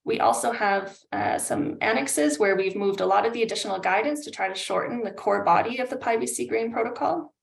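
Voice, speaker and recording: A woman's voice: medium pitch, brisk, creaky, few pauses. A Canadian-accented woman in her thirties giving an informal, friendly professional slide presentation over a video call. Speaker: consultant presenting a webinar. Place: indoor room on a video call. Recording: roomy video-call audio.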